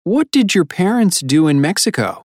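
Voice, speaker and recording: A woman's voice: fairly low pitch, sing-song, normal speed, darkish tone, dry recording, studio quality. A woman reading an interviewer's questions plainly in a scripted textbook dialogue. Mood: curious.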